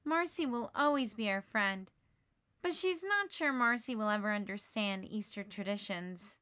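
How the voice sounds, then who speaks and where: A woman reading a children's story aloud in an animated, dramatic way. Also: medium pitch, normal speed, dry recording, clean studio sound. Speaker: storybook reader. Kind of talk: expressive children's read-aloud narration.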